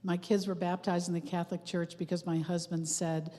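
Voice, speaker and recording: A woman's voice: on the low side, little pitch movement, a little fast, dark tone. A woman introducing herself in a class, light and amused. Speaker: class participant. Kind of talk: casual self-introduction. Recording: long church-hall reverb.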